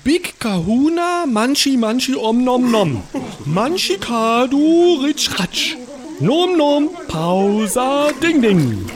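A servile, devout office cannibal in a comic radio play. His delivery swings dramatically up and down in pitch, theatrical and fawning, as if forever eager to please. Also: very animated, slow pace, heavily acted. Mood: gleeful chanting. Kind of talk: comedic audio drama acting.

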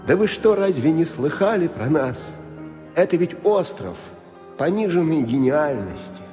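An actor playing a rueful leader of island poets in a radio comedy, plaintive and deliberate. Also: male voice, fairly high pitch, very animated, dark tone, faint music behind. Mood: explaining. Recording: long hall-like reverb.

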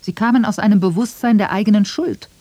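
A woman's voice: medium pitch, fast talker, darkish tone. Woman, mid-range voice, scripted literary radio narration, no room echo.

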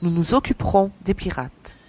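Man as a high-voiced young woman mercenary. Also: big pitch swings, moderate pace, a little mellow. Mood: firm, reassuring. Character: young woman mercenary, brisk, tough, commanding. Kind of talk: audio drama science fiction acting.

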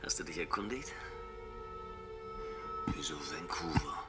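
An actor playing a blunt, short-tempered crook with a soft spot for his sweetheart, in a radio drama. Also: extremely low pitch, expressive, measured pace, over loud music. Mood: hushed, tender, calculating. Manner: blunt, snappish.

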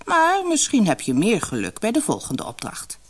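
Woman playing a stern old gatekeeper woman, animated. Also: medium pitch, normal speed, slightly rough, voice pushed up. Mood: mocking. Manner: commanding, mocking, serious.

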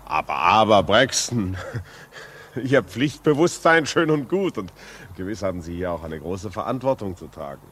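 An actor playing a concerned, educated gentleman visitor in a 1950s German radio drama, urbane and probing. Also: on the low side, very animated, moderate pace, slightly rough, exaggerated voice. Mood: concerned, insistent. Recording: muffled-edged 1950s radio drama recording.

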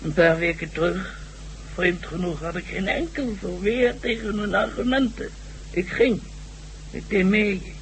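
An actor playing a stubborn, condescending old professor in a Dutch science-fiction radio drama. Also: male voice, high voice, measured pace. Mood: explaining. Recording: noisy, humming old radio recording.

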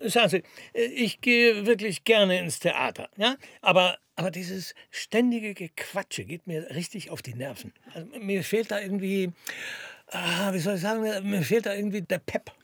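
An actor playing a fussy, exasperated Renaissance theatre lover in a satirical radio play, complaining in a chatty stammer. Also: male voice, sits high, normal speed, gravelly, fairly bright tone, no echo, studio quality, exaggerated voice.

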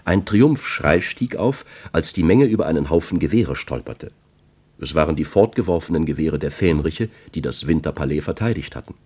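An actor reading as a sober, factual male eyewitness in a radio play, with a husky voice. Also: a bass voice, animated, moderate pace, a little mellow, full character voice. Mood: sober, gripped. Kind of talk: scripted first-person eyewitness narration.

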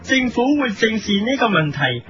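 A man's voice: sits high, sing-song, normal speed, fairly bright tone. A man with a Hong Kong Cantonese accent hosts a parody editorial, delivering satirical scripted commentary in a mock news style. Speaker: host of a parody editorial programme. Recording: lo-fi radio recording with music behind. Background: music.

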